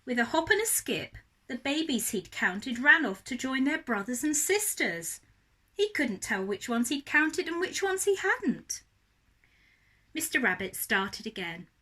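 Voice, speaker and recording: A woman reading a story aloud as a primary teacher would, expressively and deliberately for young children. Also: high-pitched, very animated, sharp tone.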